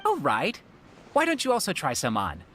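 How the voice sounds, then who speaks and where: A woman's voice: low-pitched, huge pitch swings, normal speed, fairly bright tone, a little hiss or hum, full character voice. A woman voicing a playful young friend in a video game, breezy, chatty and fond of teasing. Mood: amused, placating. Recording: music behind the voice. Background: music.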